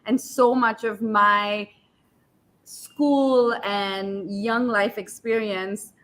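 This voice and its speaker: A woman's voice: mid-range voice, steady tone, drawn-out words, fairly bright tone, rough recording. A woman in her thirties from India, an author chatting on a panel, enthusiastic and thoughtful with frequent "like" and "um". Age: 30s. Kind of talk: casual panel talk.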